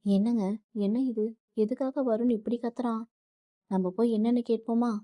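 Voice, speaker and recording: A caring mother-in-law who gently coaxes and worries about the family, as a woman storyteller puts her on. The voice is soft and mellow. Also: on the high side, steady tone, normal speed, no echo, voice pushed up, natural voice. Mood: worried. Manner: gentle, coaxing.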